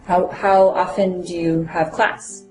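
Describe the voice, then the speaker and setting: A woman playing an interviewing officer, asking brisk, polite questions. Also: on the low side, flat delivery, music in the background, poor audio. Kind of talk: scripted role-play.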